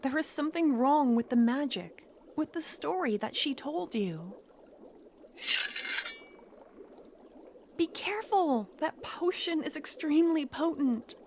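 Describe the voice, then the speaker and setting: A woman voicing a clingy, menacing yandere witch in an ASMR roleplay, speaking in a soft, coaxing whisper. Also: sits high, expressive, normal speed, noisy background, pitched above the performer's own voice. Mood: indignant, frantic.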